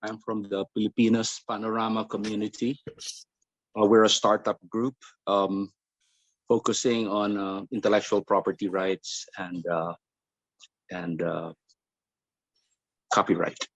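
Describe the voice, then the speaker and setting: Male meeting participant, lowish pitch, halting delivery, dry close sound. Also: flat delivery, dry recording, poor audio. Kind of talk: halting informal self-introduction.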